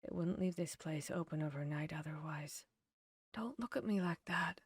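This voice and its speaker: A woman's voice: deep voice, moderate pace, husky, no room sound, clean studio sound. A woman playing a protective, caring vampire hunter in an intimate fantasy audio roleplay, speaking directly to the listener. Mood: hushed, wary. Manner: intimate, addressing the listener directly, shifting between hushed and urgent.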